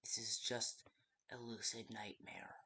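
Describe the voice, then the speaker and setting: A performer playing a hesitant, nervous guide in a game, giving survival instructions in a halting, often hushed voice. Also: mid-range voice, little pitch movement, husky, whispered, exaggerated voice. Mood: hesitant, cautioning. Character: hesitant, nervous guide talking a night-shift survivor through danger. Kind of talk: game tutorial monologue.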